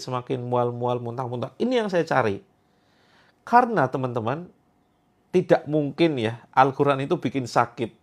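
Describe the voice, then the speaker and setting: Joking man hosting a live chat. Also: medium pitch. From Indonesia. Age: 30s. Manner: chatty, joking, repeats points for emphasis. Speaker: Quran therapy host. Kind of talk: livestream chat answering viewer comments.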